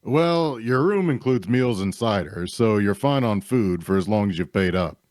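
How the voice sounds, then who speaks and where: An actor playing an easygoing, practical tavern keeper in a fantasy drama read, casual and matter-of-fact. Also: male voice, on the low side, animated, normal speed, darkish tone, no room sound, studio quality, lightly acted.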